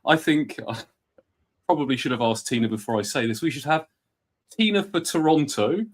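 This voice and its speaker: A man with a husky voice from a cold, chatting enthusiastically as a podcast guest, rambling with many fillers. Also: on the high side, animated, moderate pace. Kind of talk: casual conversation.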